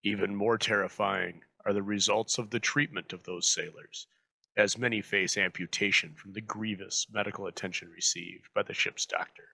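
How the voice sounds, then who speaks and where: Gravelly, low-voiced man narrating dramatically. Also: fairly even intonation, normal speed, pushed and bright, dry recording, low-quality recording. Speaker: host introducing old radio episode.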